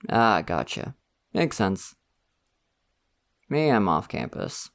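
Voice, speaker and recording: A man's voice: lowish voice, sing-song, slow, exaggerated voice. A young man voicing a friendly, slightly shy college student in an ASMR roleplay, talking casually and laughing often. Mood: easygoing chatty. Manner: casual, laughs often, soft-spoken and chatty.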